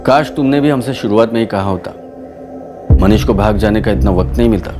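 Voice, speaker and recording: Actor playing tough blunt cop guy, lowish voice, tv crime drama, music behind. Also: fairly quick, natural voice. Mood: menacing, sarcastic. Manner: hard-edged, interrogating, matter-of-fact. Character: stern, blunt male police investigator. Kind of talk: Hindi TV crime drama acting.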